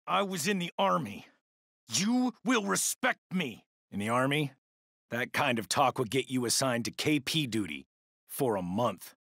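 A middle-aged American military stepfather in a game. He lectures sternly in a husky, gruff voice that swings widely in pitch. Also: big pitch swings, a little slow, fairly bright tone, no echo, studio quality, heavily acted. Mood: indignant. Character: stern, gruff ex-army stepfather with wounded authority. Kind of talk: video game voice acting.

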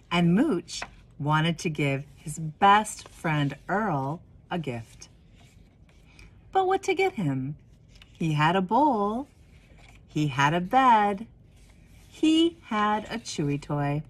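A woman in her forties reading a children's story expressively, with deliberate pauses and emphasis. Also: lowish voice, very animated. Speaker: minister of religious education reading a picture book.

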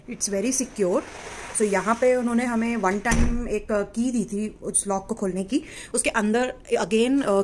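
A woman's voice: mid-range voice, darkish tone, a little hiss or hum. A woman in her twenties giving a casual apartment tour, chatting and pointing things out while walking with a handheld phone camera. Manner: chatty, mixing in English words, pointing things out. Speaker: vlogger. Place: small basement apartment indoors. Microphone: phone camera held while walking.

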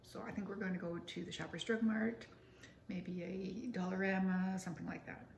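A raspy, gravelly-voiced woman in her sixties rambles through her day in a plain, matter-of-fact tone. She is recorded on a close camera in a roomy living room with a faint hiss behind her. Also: medium pitch, fairly even intonation, normal speed. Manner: rambling, matter-of-fact, snacking while talking. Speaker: vlogger. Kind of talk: casual chat to camera. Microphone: camera close up on a table.

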